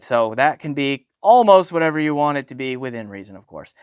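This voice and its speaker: A man's voice: mid-range voice, clean tone, dry recording, clean studio sound. A man giving a casual, conversational product review as the host of an audio gear channel. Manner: conversational, informal.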